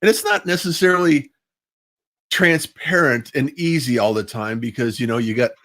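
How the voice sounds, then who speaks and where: A man's voice: mid-range voice, moderate pace, a bit bright. A man hosting a casual, chatty live interview online, talking in a rambling, appreciative way. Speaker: host of a livestream interview show. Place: at home, live online video call. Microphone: small personal microphone.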